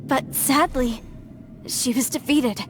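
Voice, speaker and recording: A woman's voice: sits high, slow pace, slight background noise. A woman playing an earnest, stubbornly faithful young devotee in a video game, speaking emphatically and dramatically. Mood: sorrowful. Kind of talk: scripted video game voice acting.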